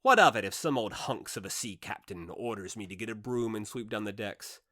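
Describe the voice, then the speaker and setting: Low-voiced man narrating a classic novel, dry sound. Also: expressive, a little fast, sharp tone, dry recording. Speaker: audiobook narrator. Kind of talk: scripted literary narration, first-person classic novel.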